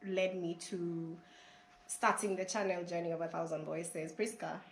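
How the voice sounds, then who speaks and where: A woman in her forties from Kenya, hoarse with a flu, speaking earnestly and with some hesitation as she is interviewed about her channel. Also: on the low side, steady tone, moderate pace, roomy sound, slight background noise, rough recording. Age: 40s. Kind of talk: casual interview. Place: her family home in a village.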